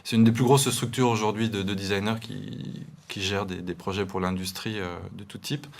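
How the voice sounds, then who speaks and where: A man's voice: fairly low pitch, fairly even intonation, normal speed, gravelly. A young man with an eastern French accent, a designer guest in a studio interview, explaining with many restarts and fillers. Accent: eastern French, Montbéliard area. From eastern France.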